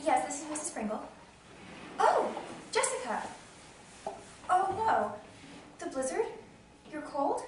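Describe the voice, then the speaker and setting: An imperious, melodramatic society mother played by a woman, with a very high voice that swings widely as she commands and frets. She is snobbish, theatrical and quick to indignation, in stage comedy style. The sound is distant and very echoey. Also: sing-song, full character voice. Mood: sweetly social. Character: imperious, frantic society hostess mother, snobbish and easily enraged. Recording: echoey room, distant noisy mic.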